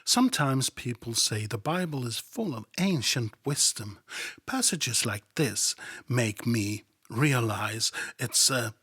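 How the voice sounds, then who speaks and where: A man's voice: mid-range voice, lively intonation, takes it slowly, sharp tone, husky, no room sound, clean studio sound. A man hosting a podcast who reads scripture aloud and comments on it in a sarcastic, irreverent and profane way. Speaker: podcast host.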